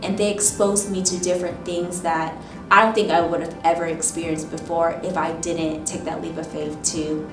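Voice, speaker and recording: A woman in her thirties, a teacher, reflecting thoughtfully in an oral history interview, often pausing with "um" and "you know". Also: lowish voice, little pitch movement. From Michigan, raised in Ohio. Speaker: teacher and instructional coach. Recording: roomy, distant mic with music behind.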